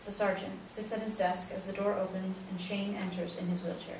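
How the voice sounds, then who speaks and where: A woman's voice: medium pitch, flat delivery, brisk. Woman narrator in a very echoey room. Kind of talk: scripted narration of stage directions. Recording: very echoey room, distant mic, noisy.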